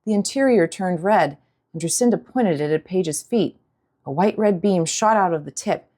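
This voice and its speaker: Female audiobook narrator, lower-pitched, dramatic storytelling delivery. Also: expressive, moderate pace, dark tone.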